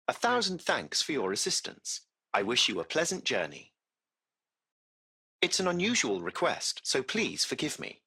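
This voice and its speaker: A man's voice: mid-range voice, gravelly, pushed and bright, husky, heavily acted. A man playing a polite, curious AI taxi driver in a video game, speaking in formal, precise, butler-like phrases. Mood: courteous, serene, then polite, studious. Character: courteous, curious AI taxi chauffeur, male-voiced machine. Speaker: AI driver of a cab service. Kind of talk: scripted video game character dialogue.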